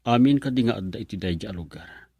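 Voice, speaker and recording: A man's voice: fairly low pitch, animated, fast, creaky, dark tone, slightly rough, dry recording, heavily acted. An actor in a radio drama playing an earnest young man who argues with his mother and courts a waitress. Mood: brooding. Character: young man chasing a mysterious bar girl.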